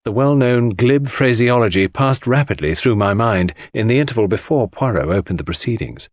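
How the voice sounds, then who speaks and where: A man's voice: medium pitch, lively intonation, moderate pace, dry recording, studio quality. A man reading scripted first-person mystery narration for an audiobook.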